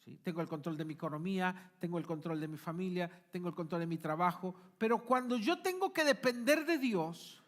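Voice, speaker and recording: A male pastor, preaching a sermon emphatically, swinging between forceful peaks and quiet asides. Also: high voice, lively intonation, a little fast, few pauses. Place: church.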